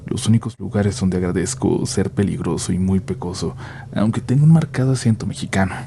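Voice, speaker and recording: A man narrating a first-person horror story in a hushed, measured way, sometimes dropping to a near-whisper. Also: low voice, creaky, a little hiss or hum.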